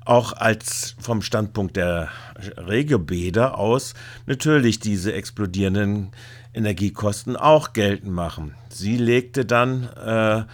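Slow, low-voiced man narrating a report. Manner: halting, with hesitations. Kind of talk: scripted report narration with commentary.

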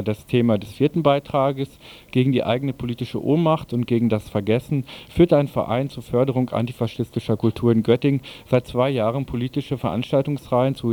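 A man's voice: mid-range voice, dark tone. A man presenting a radio news programme, reading out scripted announcements.

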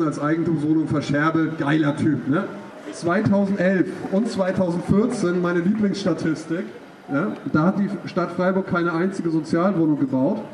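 High-voiced sarcastic man, echoing street speech. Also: a little mellow. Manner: sarcastic, colloquial, tag questions. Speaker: satirical speaker at a street demonstration. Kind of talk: satirical rally speech. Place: outdoor street demonstration. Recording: echoey, noisy, distant outdoor PA recording.

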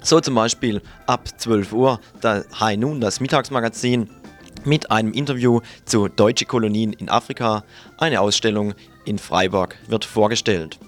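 A man's voice: fairly low pitch, moderate pace. A man presenting programme announcements on the radio. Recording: music behind the voice. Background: music.

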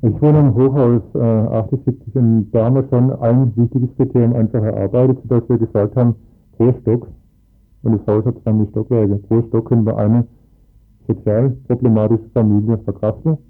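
Casting: man, earnest local interviewee, medium pitch, narrow tinny sound. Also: steady tone, a little fast. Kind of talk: political discussion in a radio interview. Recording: tinny phone-like band, music behind.

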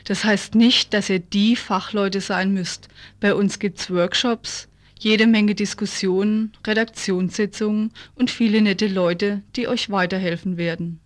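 A woman's voice: mid-range voice, fairly even intonation, unhurried. A woman presenting a scripted radio promo, speaking directly to listeners as a member of the news team. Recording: noisy radio recording with clicks.

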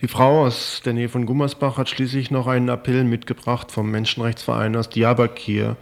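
Guy reading a radio news report, middle-pitched voice, scripted reporter narration. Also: little pitch movement, normal speed, creaky.

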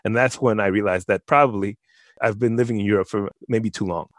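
A man shares a personal story in a conversational way. His voice sits fairly low, and the sound is very dry with no room echo. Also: steady tone, fairly quick. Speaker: panelist.

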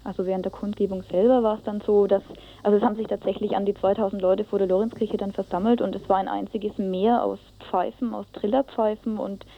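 A woman's voice: mid-range voice, level tone, fairly quick, a little hiss or hum. A woman giving an informal eyewitness account as a radio reporter, often searching for words in a colloquial way. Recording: dull lo-fi radio tape.

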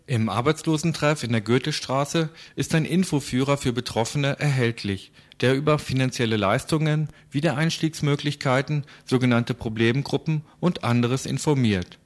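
Male news reporter, medium pitch. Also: normal speed, hardly any pauses. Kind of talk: scripted news narration.

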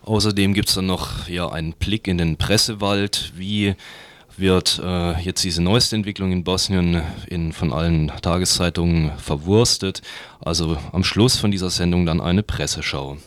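A man's voice: low-pitched, steady tone, a little slow, no room sound. A man hosting a radio news magazine in an informal, somewhat hesitant way, speaking into a studio microphone. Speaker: radio host. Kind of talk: community radio news magazine presenting, partly scripted.

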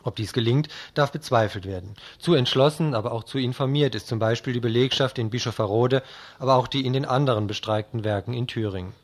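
A man's voice: medium pitch, fast. A man presenting a scripted radio news report.

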